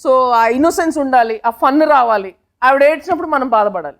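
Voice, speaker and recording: A woman's voice: sits high, lively intonation, a little fast. A woman talking casually in an interview, slipping English phrases into her speech. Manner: code-switches into English phrases. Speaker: film team member, interviewee. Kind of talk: casual interview talk about a film.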